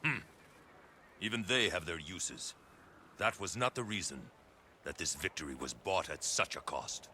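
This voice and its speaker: A man's voice: deep voice, expressive, measured pace, long pauses, pushed and bright, slight background noise, heavily acted. An actor playing an ambitious male warlord in a video game cutscene, speaking with authority and probing persuasion. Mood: commanding.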